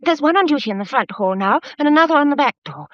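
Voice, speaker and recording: A polite, worried young English lady , played by a woman, in a period mystery drama. Her fairly high voice moves expressively with earnest feeling. The recording is dry and slightly dull. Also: lively intonation, fast talker, fairly bright tone, no room sound. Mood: distressed, urgent. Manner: earnest, emotional, well-mannered. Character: anxious, polite young upper-class granddaughter in 1940 London. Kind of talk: period audio drama acting.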